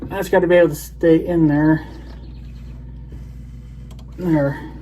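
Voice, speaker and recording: Male vlogger, slightly higher-pitched voice, casual hands-on demo, thinking out loud. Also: level tone, takes it slowly, slight background noise. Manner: rambling, thinking aloud. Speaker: video blogger. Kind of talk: casual hands-on gadget demonstration.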